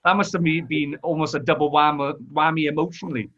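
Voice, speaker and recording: A man's voice: on the high side, steady tone, fast talker, sharp tone. A Welsh man hosts a casual podcast conversation. He steers with friendly leading questions and slips in his own anecdotes. The audio is slightly dull and lo-fi. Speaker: podcast host.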